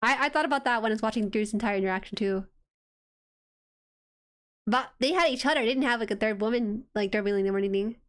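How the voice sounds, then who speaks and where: Soft, clear, higher-pitched woman streamer. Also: fast talker, pauses often. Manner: excitable, exclaiming reactions. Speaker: streamer hosting an anime watchalong. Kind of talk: casual reaction chat.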